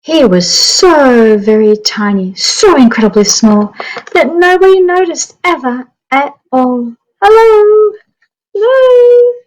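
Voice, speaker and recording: A woman reading a picture book aloud to young children, with expressive, deliberate, dramatic phrasing. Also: high voice, rough recording.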